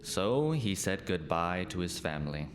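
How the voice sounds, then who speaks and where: Male narrator for spooky audio tale: low voice, unhurried dramatic reading, faint noise behind. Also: big pitch swings. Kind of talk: scripted spooky storytelling for radio play.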